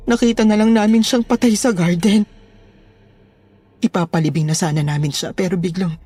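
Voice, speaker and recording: A woman's voice: medium pitch, husky, lightly acted. A woman playing an unhinged, grieving lady employer haunted by her lost parents, acting melodramatically in a horror audio drama. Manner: melodramatic, swinging from soft to shrieking.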